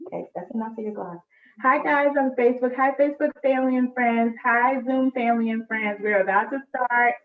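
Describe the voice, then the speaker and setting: A woman's voice: a little high, brisk. A woman hosting a live children's read-aloud, chatting to families at storytime. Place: indoors at home, on a live video call. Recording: echoey room, distant muffled mic.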